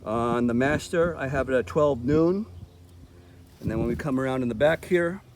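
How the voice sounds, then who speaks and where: A man's voice: medium pitch, normal speed, a little mellow, a little hiss or hum. Male gear reviewer, mid-range voice, casual and explanatory vlog delivery, recorded outside in a park. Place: outdoors in a park.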